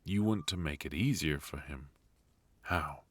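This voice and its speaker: A man playing a brooding, protective knight in an intimate ASMR roleplay, speaking in a halting, earnest, hushed way. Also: very deep voice, no echo. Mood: pensive, uncertain. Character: brooding, protective male knight devoted to an outlawed royal. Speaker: voice actor.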